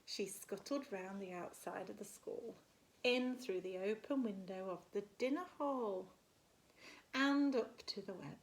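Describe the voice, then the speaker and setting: A woman, a primary school teacher, reading a picture book expressively to children and pausing to put questions to her listeners. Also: mid-range voice, low-quality recording. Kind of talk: animated picture-book storytelling with asides.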